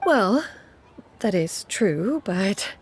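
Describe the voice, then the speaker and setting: A woman's voice: mid-range voice, sing-song, drawn-out words, slight background noise. A woman voicing a proud, elegant, ancient immortal with archaic, formal diction in a video game. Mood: reserved. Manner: archaic formal diction, calls herself "one". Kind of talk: animated video game voice acting.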